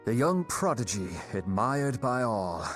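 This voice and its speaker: A man's voice: mid-range voice, very animated, unhurried, faint music behind. An actor playing a wry, reflective man looking back on his youth in a video game, musing to himself. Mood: wistful, wry. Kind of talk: video game cutscene acting.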